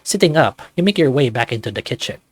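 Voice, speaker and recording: A man's voice: medium pitch, sing-song, fast talker, breathy edge, dry recording. A man reading a visual novel aloud as narrator and player, with occasional asides of his own.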